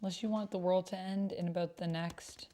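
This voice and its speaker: A woman's voice: lowish voice, steady tone, brisk, warm tone. A woman livestreaming a text roleplay game, chatting casually and thinking aloud as she reads and types. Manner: thinks aloud while reading and typing. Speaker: streamer playing a text-based roleplay game. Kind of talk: casual livestream chat while reading out typed roleplay. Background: computer keyboard typing.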